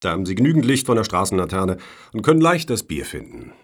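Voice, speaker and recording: An actor playing a self-assured, unruffled foundation official in a satirical radio play, dry and needling in manner. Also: male voice, low voice, huge pitch swings, fast, husky, heavily acted. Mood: slyly assured. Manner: dry, matter-of-fact, needling.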